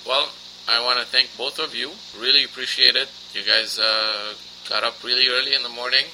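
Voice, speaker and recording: A man's voice: mid-range voice, fairly even intonation, normal speed, creaky, pushed and bright, slight background noise, low-quality recording. A man hosting a radio interview, asking questions and reacting briefly. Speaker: radio host.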